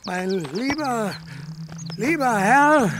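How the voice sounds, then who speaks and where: An actor playing an effusively polite, chatty elderly tourist in a radio play. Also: male voice, extremely high pitch, animated, very slow, music in the background, full character voice. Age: older. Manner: ceremonious, chatty, courteous.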